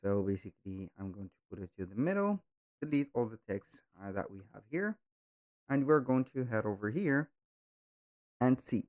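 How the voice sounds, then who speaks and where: A man hosting a step-by-step software tutorial, speaking in halting, repetitive phrases with slightly non-native grammar. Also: fairly low pitch, big pitch swings. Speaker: tutorial host.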